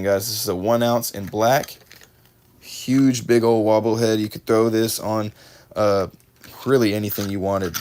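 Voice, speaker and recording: A fairly low-voiced man with a drawl talks through tackle on a livestream, chatting casually with viewers and correcting himself often. His voice sounds slightly worn, as if he is losing it a bit. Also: darkish tone, a little hiss or hum. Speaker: fishing livestream host. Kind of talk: casual live unboxing chat.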